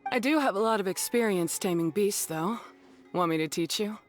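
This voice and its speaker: A woman's voice: medium pitch, normal speed, faint music behind, close to an everyday voice. A woman playing a blunt, self-effacing mercenary beast tamer in a video game, plain-spoken and matter-of-fact with folksy slang. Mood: gruff, modest.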